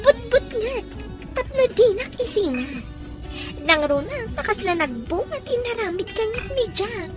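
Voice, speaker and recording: Woman doing a sly, high-voiced schemer. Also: sing-song, a little slow, heavily acted. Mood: scheming. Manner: teasing, prying questions with sly laughs. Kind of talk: Ilocano radio-style drama acting. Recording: faint music behind.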